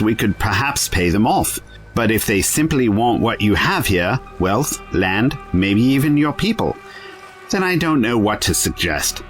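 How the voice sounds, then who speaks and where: A man playing a level-headed, wry time-travelling adventurer in an audio drama, talking in a measured, musing, wordy way. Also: on the low side, a bit bright.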